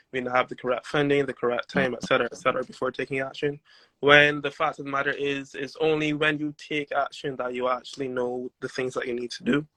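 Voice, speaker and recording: A Barbadian man with a light accent chats through a phone mic in a crisp, bright tone. The sound is dry and close, with no room around it. He reflects and works his points out aloud, with asides along the way. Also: medium pitch, no room sound, poor audio. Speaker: steel pan player and guest. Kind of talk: casual podcast chat.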